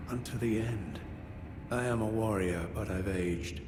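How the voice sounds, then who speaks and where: Slow, low-voiced aging knight, played by a man. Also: background noise, lightly acted. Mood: grave, bitter. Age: older. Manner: measured, formal, ruminative. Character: aging honorable male warrior knight, weary, grave, courteous. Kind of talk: dramatic fantasy video game dialogue. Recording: echoey hall with long reverb, music behind.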